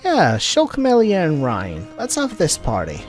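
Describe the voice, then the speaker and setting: A man hosts a casual let's play in a fairly high, very animated voice. He talks through the game as he plays, with music running underneath. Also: unhurried. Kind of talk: casual gameplay commentary.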